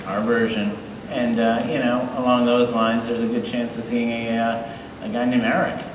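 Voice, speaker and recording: A man speaking as a show creator on a live panel, measured and careful in a conversational way. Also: mid-range voice, little pitch movement. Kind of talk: live panel interview, explanatory. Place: large indoor convention hall stage. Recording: echoey hall, distant, crowd noise.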